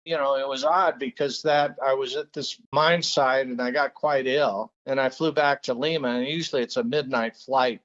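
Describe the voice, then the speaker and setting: A man's voice: mid-range voice, fairly even intonation, normal speed, hardly any pauses, fairly bright tone. Male author guest, earnest storyteller, restarts his phrases, lo-fi dull line. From Peru. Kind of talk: interview, telling a story.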